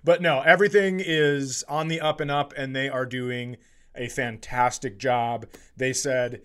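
A man's voice: medium pitch, steady tone, measured pace, fairly bright tone, clean tone. A man with an American accent chatting casually and comedically as a podcast co-host, bantering and swearing freely. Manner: profane, bantering.

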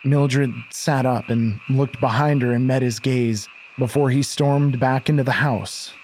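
A man with a medium-pitched voice narrates a horror audio drama. His delivery is measured, literary and intense, over faint background ambience. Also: fairly even intonation, a little mellow, a little hiss or hum, low-quality recording. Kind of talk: scripted dramatic horror storytelling.

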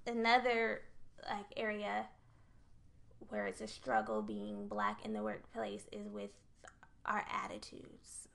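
A woman's voice: medium pitch, lively intonation, slow, creaky, fairly bright tone. A young woman co-hosting a podcast, making her case earnestly and point by point in casual chat. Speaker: podcast co-host.

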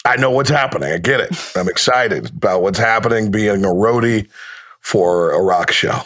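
A man's voice: lowish voice, animated, normal speed, fairly bright tone. A man talking casually as a podcast guest, telling stories like a sports broadcaster in short, clipped phrases with wry, slangy asides. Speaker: basketball radio play-by-play announcer, guest.

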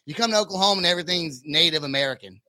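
A man's voice: a little high, rapid-fire, pushed and bright, no room sound. A man from California hosting a casual rock podcast, talking in a slangy, profane, informal way. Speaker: podcast host.

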